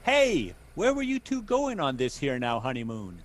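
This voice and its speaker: Man acting folksy, animated cab driver. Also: mid-range voice, normal speed, background noise. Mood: teasing. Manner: folksy, colloquial. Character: folksy, nosy small-town male taxi driver. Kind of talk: 1940s radio drama acting.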